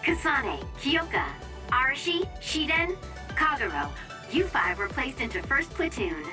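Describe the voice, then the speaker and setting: A woman's voice: sits high, lively intonation, a little slow, music in the background. An actress playing a friendly, perky young woman who gives mission briefings as an operator in a video game. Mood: upbeat. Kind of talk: English video game voice acting.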